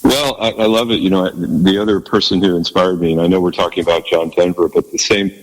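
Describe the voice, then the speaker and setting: A man with a low bass voice, chatting as a guest in an interview, speaking haltingly and modestly with many restarts. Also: fairly even intonation, in a large hall, low-quality recording. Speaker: guest musician. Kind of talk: casual interview chat.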